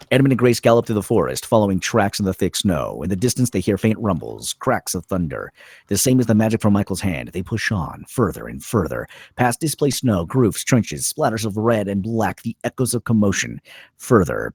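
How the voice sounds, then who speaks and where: A man narrates a film script with a deep, raspy voice. His intonation swings widely through short, suspenseful phrases and dramatic pauses. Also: darkish tone, no room sound, clean studio sound. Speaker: narrator reading stage directions.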